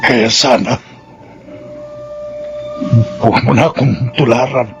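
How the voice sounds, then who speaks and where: A grave old Filipino grandfather in a radio drama, full of regret. His voice is extremely creaky, halting between phrases, with music clearly playing behind it. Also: medium pitch, fairly even intonation. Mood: remorseful, solemn.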